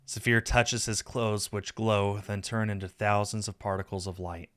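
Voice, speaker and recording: Low-voiced man narrating a visual novel. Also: fairly even intonation, normal speed, a bit bright. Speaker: streamer reading a game aloud. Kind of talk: expressive scripted narration.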